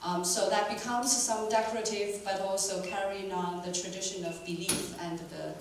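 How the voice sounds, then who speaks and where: A woman's voice: medium pitch, little pitch movement, normal speed, slight background noise. A woman moderating and interpreting at a live talk, hesitant with many ums, in a lecture room. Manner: hesitant, lots of ums while translating. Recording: echoey room, distant mic.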